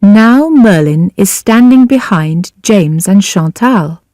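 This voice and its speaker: Very slow, mellow woman narrator. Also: medium pitch, animated, no echo, studio quality. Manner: slow, deliberate, carefully enunciated. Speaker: audiobook narrator. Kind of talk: scripted narration of a graded language-learner story.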